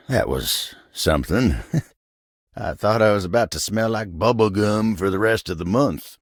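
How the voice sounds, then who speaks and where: A man playing a gruff, proud, bashful old-fashioned wooden table in a video game, with a folksy country drawl from the American South and a grumbling, easily flustered way of talking. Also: low voice, fairly bright tone, dry recording, clean studio sound, heavily acted. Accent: American Southern or rural Western drawl. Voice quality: gravelly. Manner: folksy, grumbling, flustered, halting when embarrassed. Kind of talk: video game character voice acting.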